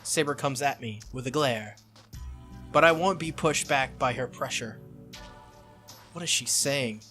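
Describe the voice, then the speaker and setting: A man's voice: medium pitch, measured pace, bright, forceful tone, soft background music. A man reading a visual novel aloud as a playthrough host, with casual asides and occasional stumbles that he corrects. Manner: stumbles over lines and corrects himself.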